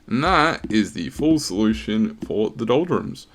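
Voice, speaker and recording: A man explaining the last steps of a sudoku puzzle casually, with many uhs and a relaxed, joking tone. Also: lowish voice, measured pace, a little hiss or hum. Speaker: sudoku puzzle setter and walkthrough host.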